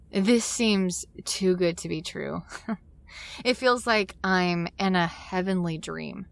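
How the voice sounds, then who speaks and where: An actress playing an awestruck, curious newcomer in a sci-fi audio drama, speaking in a hesitant, conversational way. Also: female voice, on the low side, slow, vocal fry. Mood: overwhelmed, grateful.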